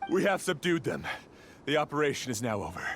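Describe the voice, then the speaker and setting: Lively, obedient soldier. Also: male voice, a little high, brisk, slight background noise. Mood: dutiful. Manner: clipped military report. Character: obedient, rank-and-file male soldier reporting. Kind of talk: video game cutscene acting.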